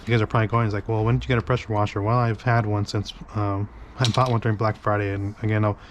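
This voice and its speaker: A man with a congested, nasal voice from a cold talks casually through a product demo in his garage, rambling and restarting with frequent ums. His voice sits fairly low. Faint garage ambience hums behind him. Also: level tone, fast, highs cut off, a little hiss or hum. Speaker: car detailing channel host. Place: home garage workbench.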